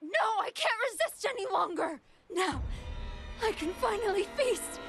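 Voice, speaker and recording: A woman's voice: extremely high pitch, sing-song, a little slow, fairly bright tone, full character voice. A woman voicing a volatile, ravenous female android villain in an anime game, theatrical in delivery. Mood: desperate, ravenous. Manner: theatrical, swinging from meek to menacing. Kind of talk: dubbed anime game voice acting. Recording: music under the voice.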